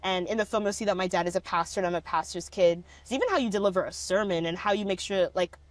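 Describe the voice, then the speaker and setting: A woman's voice: fairly low pitch, quick pace, no room sound. A young woman in a casual interview, often laughing and filling her speech with "like". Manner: laughing often, many "like" fillers. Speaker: documentary subject, speech competitor.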